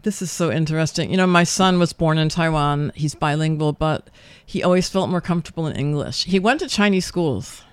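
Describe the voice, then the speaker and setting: A mature woman hosting a casual interview, thinking aloud in halting questions that often trail off. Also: low voice, smooth, no echo. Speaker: radio interview host.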